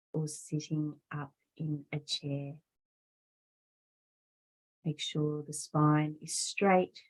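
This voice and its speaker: A woman yoga teacher guides a meditation in a low, softly mellow voice. Her delivery is very unhurried and soothing, with deliberate phrasing and long pauses. She sounds calm and instructive throughout. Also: poor audio.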